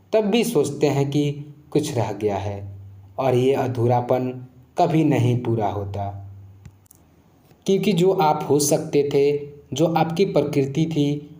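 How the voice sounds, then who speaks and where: A man giving a scripted motivational spiritual narration in a measured, reflective, explanatory way. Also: medium pitch, dark tone, echoey room. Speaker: narrator of moral story channel.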